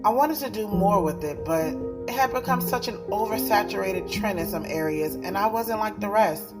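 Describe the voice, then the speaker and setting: A woman's voice: mid-range voice, moderate pace, natural voice. An actress playing a guarded, skeptical young woman poet in an audio drama, speaking in a candid, conversational, street-smart way. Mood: reflective, candid. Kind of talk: audio drama dialogue and first-person narration. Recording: music playing behind the voice.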